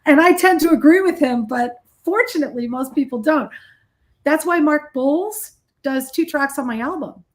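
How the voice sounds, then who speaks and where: A woman in middle age talks casually as a musician being interviewed. Her voice sits a little high. She is blunt and self-deprecating, telling anecdotes in a conversational way. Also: moderate pace. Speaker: heavy metal guitarist, singer and songwriter being interviewed.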